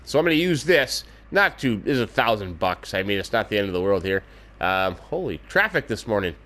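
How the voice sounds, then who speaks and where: A man's voice: on the low side, big pitch swings, fairly quick, a bit bright. A man casually narrating his farming game as a let's play host, folksy and chatty with mild exclamations, a little stuffed up in the nose. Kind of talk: casual gameplay commentary.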